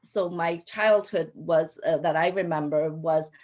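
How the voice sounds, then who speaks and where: A woman in her forties from Cambodia, telling her personal story as a panelist in a halting way, often pausing and repeating phrases. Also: low voice, a little mellow. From Cambodia, raised in a Thai refugee camp and the US. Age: 40s. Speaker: panelist, local elected official.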